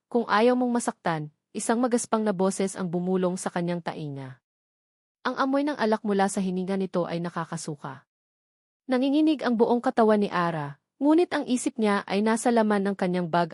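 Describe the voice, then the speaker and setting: A woman's voice: mid-range voice, darkish tone, no echo, clean studio sound. A woman reading a scripted romantic drama story as its narrator, in a dramatic storytelling style.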